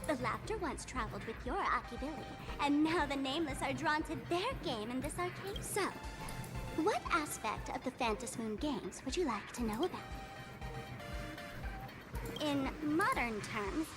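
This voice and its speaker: A bright young female video game adventurer with a high, swooping voice. She talks in a chatty, animated way, with game music loud behind her. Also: normal speed. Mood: explaining.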